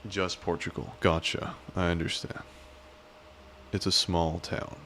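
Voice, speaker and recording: Deep-voiced joking male streamer. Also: level tone, measured pace, sharp tone, slight background noise. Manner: rambling, joking, self-deprecating. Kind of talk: casual live-stream chat.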